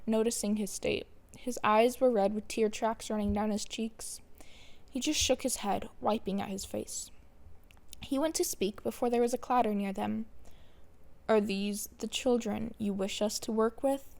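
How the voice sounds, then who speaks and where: A woman's voice: fairly high pitch, gravelly, darkish tone. A woman narrating a scripted fan fiction story with dramatic storytelling. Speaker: podfic narrator.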